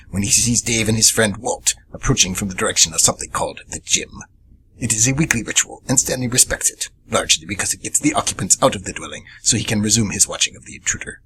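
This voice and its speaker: A man's voice: on the low side, sharp tone, slight background noise, poor audio. A man performing radio theatre as a vengeful, obsessed little monkey demon hunter, narrating with grave drama.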